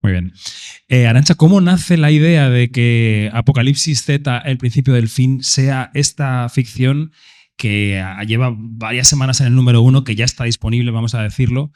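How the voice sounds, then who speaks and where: A man's voice: mid-range voice, moderate pace, a little mellow. A man with a Castilian Spanish accent, presenting a live event and putting questions to a panel as its moderator.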